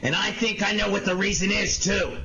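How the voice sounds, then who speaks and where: A man playing a suspicious, sardonic police inspector in a 1940s-style radio detective drama, gruff and accusing. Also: high voice, steady tone, brisk. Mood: accusing, determined. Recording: dull, noisy lo-fi audio with clicks.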